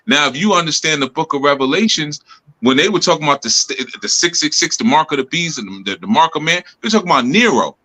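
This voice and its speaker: A man's voice: fairly high pitch, animated, quick pace, pushed and bright. A man leading a group talk, teaching loosely with laughs and lots of "you know" and "man". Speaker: spiritual group leader.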